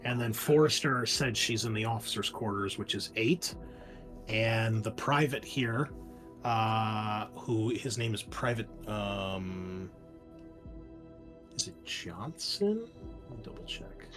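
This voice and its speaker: A man running a tabletop roleplaying game, narrating and explaining casually in a halting way. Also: fairly low pitch, lively intonation, over music. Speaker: game master.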